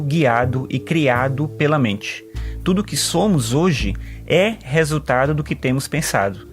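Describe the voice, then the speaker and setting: A man's voice: fairly high pitch, lightly acted. A man playing a talkative male philosopher and sociology teacher in an audio drama, earnest and fond of quoting thinkers, with faint music underneath. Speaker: patient.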